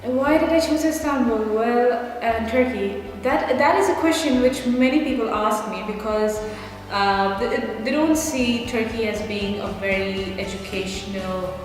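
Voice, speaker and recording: A woman's voice: a little high, unhurried. A young woman, a university student, chatting and explaining her answers at length. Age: young adult. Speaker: business student. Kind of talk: casual interview answer. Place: indoor lecture hall. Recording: very echoey hall with music.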